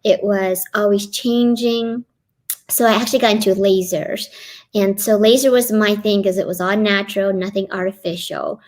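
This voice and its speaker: A woman's voice: medium pitch, steady tone, moderate pace, a little mellow. A middle-aged woman from Kentucky, a guest on a live online interview, eagerly explaining her work. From Kentucky, USA. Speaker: guest, cosmetic physician assistant.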